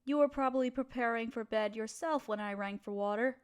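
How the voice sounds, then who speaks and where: A young noble lady played by a woman speaks in a fairly high voice. She is kind and proper, with formal, genteel phrasing and a gentle hesitancy. It is an intimate audio drama addressed straight to the listener. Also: fairly quick, lightly acted. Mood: polite, gentle.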